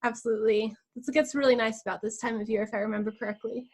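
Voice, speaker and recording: A woman's voice: fairly high pitch, steady tone, very fast, slightly rough. A woman hosting a conversational interview, polite and affirming as she asks questions. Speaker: interview host.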